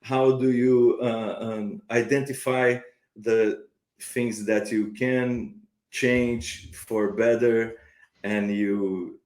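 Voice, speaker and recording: A man's voice: mid-range voice, fairly even intonation, very slow, darkish tone. A man hosting an interview, hesitantly with many fillers and restarts. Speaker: podcast host. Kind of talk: conversational interview. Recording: roomy reverb.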